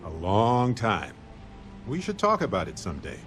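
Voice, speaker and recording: An actor playing a genial, wry veteran space explorer in a video game, chatty and reflective. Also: male voice, lowish voice, huge pitch swings, normal speed, fairly bright tone, faint music behind. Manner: conversational, playful, reflective. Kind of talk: scripted video game dialogue.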